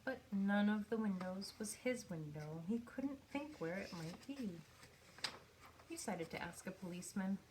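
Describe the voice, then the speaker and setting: A faint background noise sits under a woman's voice as she reads a picture book aloud. Her pitch is mid-range for a woman. She tells the story expressively, pausing for effect as a storyteller does for young listeners. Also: poor audio. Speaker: storybook reader. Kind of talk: read-aloud children's picture book narration.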